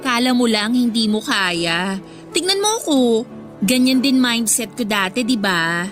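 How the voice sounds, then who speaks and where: A woman's voice: a little high, lively intonation, measured pace, fairly bright tone. A woman playing an ambitious, pushy teenage student leader in a Filipino radio drama, talking persuasively and insistently with a Filipino accent. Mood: coaxing. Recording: music under the dialogue.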